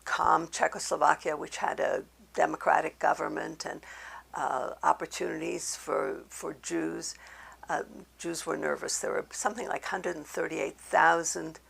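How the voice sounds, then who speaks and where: Woman in her eighties from Slovakia, German mother tongue, very gravelly, husky voice, giving measured oral history testimony with many pauses. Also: low voice, a bit bright. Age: 80s. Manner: halting, deliberate, measured. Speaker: Holocaust survivor giving testimony.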